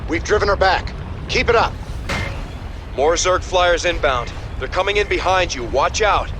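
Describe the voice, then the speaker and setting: Urgent military officer amid noise. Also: male voice, high-pitched, animated, moderate pace. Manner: alert, urgent, clipped military delivery. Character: urgent, dutiful military officer reporting threats. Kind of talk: video game mission dialogue.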